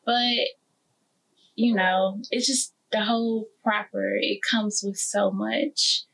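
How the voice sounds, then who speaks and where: A woman's voice: mid-range voice, fairly even intonation, slow, vocal fry, a bit bright, slightly rough, low-quality recording. A woman in her twenties from Memphis with a Southern US accent, a guest in a casual interview who enunciates her words carefully. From Memphis, Tennessee. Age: 20s.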